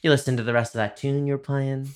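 A man's voice: medium pitch, fairly even intonation, fast talker. A man hosting a casual podcast chat, talking loosely with lots of filler words and easy laughter.

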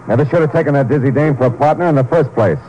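A man's voice: medium pitch, racing, mellow, natural voice. An actor playing a sulky male crook in a 1950s radio drama, grumbling and bitter. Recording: muffled 1950s radio transcription.

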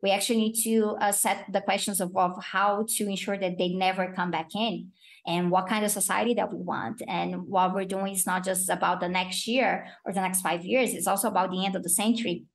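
A woman's voice: medium pitch, flat delivery, fairly quick, gravelly. A woman from Brazil with a Brazilian accent, a guest in a casual interview who talks thoughtfully, searches for words and laughs easily. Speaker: guest, academic and YouTuber.

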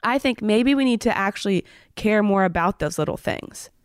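A woman co-hosting a podcast, chatting casually with a friend, playful and teasing and quick to giggle. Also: mid-range voice, expressive, clear, resonant tone, no echo, studio quality. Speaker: podcast co-host.

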